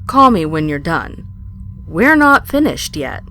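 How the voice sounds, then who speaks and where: A woman's voice: lowish voice, sing-song, takes it slowly. A woman playing a headstrong, teasing alien starship officer in a science fiction audio drama, emphatic and goading, with noise behind her. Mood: goading, confident.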